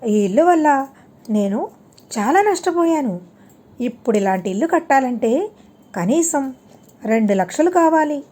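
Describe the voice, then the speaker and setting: A woman's voice: high voice, lively intonation, unhurried, long pauses, dark tone. A woman voicing a shrewd, polite but firm male landlord in an audiobook story, spelling out sums step by step. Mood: calculating, insistent.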